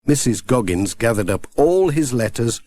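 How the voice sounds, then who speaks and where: A man's voice: medium pitch, very animated, normal speed, warm tone. A man narrating a scripted children's story as an audiobook reader.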